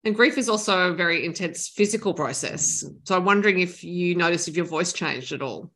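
A woman with a bright, forceful tone and a slightly low pitch conducts the interview. She asks long, carefully built questions at an unhurried, measured pace. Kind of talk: radio-style arts interview.

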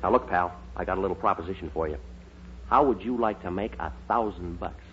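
An actor playing a slick, hearty young schemer in a 1940s radio sitcom, glib, breezy and always selling. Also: male voice, deep voice, a little fast, lightly acted. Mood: sly. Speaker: supporting actor. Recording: muffled, hissy 1940s radio recording.

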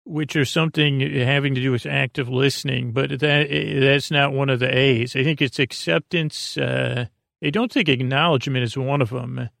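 A man hosting a sleep podcast in a creaky voice, meandering and halting through a silly improvised bedtime ramble. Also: medium pitch, fairly even intonation, fairly bright tone, no room sound, studio quality.

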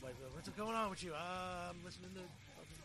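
A man's voice: high voice, brisk, noisy background. A man hosting a podcast in a casual, jokey, slangy way with plenty of swearing. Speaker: podcast host.

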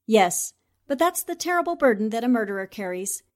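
High-voiced, confident woman newspaper sleuth acted. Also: sing-song, a little fast. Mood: grave, philosophical. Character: sharp, confident newspaperwoman sleuth explaining a murder. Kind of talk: 1940s-style radio drama acting.

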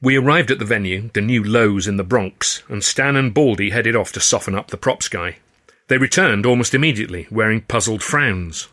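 Bright-voiced male story narrator. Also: lowish voice, moderate pace. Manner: expressive storytelling. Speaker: author and audiobook narrator. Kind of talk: scripted first-person story narration.

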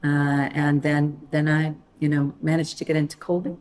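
A middle-aged woman from Maine, a podcast guest telling her life story in a casual interview, animated, with quick laughs and frequent restarts. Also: low voice. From Maine, USA. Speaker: podcast guest, wine critic.

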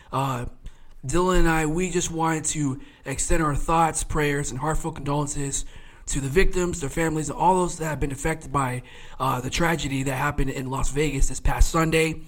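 A man in his late twenties, co-hosting a casual sports podcast. He talks in a chatty, rambling way, fills his sentences with fillers and often laughs. His voice sits a little above the usual male pitch. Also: steady tone, moderate pace, a bit bright. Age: 20s. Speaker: podcast co-host.

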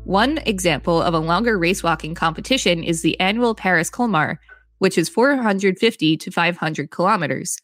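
Lady reading an article aloud, lowish voice, bit of soft guitar music behind her. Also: moderate pace, dry recording. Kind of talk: scripted narration with brief casual asides. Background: faint guitar music.

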